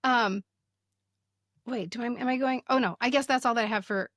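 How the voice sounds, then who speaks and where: A woman's voice: a little high, moderate pace, a bit bright, dry recording, clean studio sound. A woman in her fifties with an American accent, chatting and reading aloud casually as a podcast co-host.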